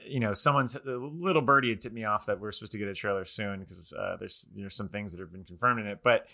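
A man's voice: on the low side, quick pace, creaky, sharp tone. A man hosting a casual pop-culture podcast, talking enthusiastically and often restarting his sentences. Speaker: podcast host.

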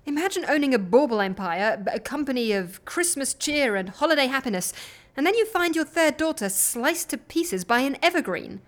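A woman playing a groggy, reluctant, soft-hearted agency worker in an audio comedy, hesitant and understated. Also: sits high, lively intonation, normal speed. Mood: sympathetic, wincing. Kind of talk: audio comedy fiction acting.

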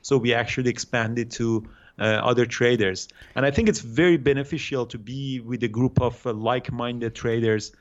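A man in his thirties from Iran with an Iranian accent, answering interview questions haltingly with many fillers and restarts. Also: mid-range voice, lo-fi sound. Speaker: guest day trader.

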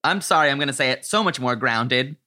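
A man hosting a casual, comic pop culture chat show, speaking in an animated, emphatic way with playful exaggeration. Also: medium pitch, a little fast. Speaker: podcast host.

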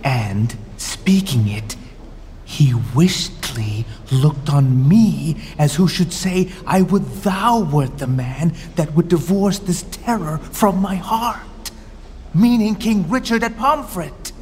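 An actor playing an ambitious knight resolved to kill for his king, speaking deliberate, insistent Shakespearean verse in a radio play. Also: a little high, lively intonation, darkish tone, a little hiss or hum. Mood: conspiratorial, insistent. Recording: long hall reverb with music underneath. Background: music.

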